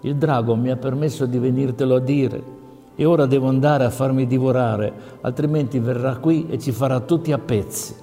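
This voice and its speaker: A man's voice: medium pitch, fairly even intonation, a little fast, mellow, in a large hall, soft background music, lightly acted. A man playing a frightened pleading young man.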